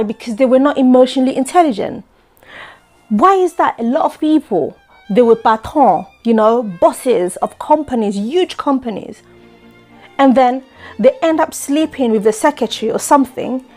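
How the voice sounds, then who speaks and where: A woman's voice: high voice, a little mellow. A middle-aged woman, a life coach hosting her own show, talking earnestly and often saying "you know". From Democratic Republic of Congo. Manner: earnest, repeats words, frequent "you know". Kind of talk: talk-show monologue.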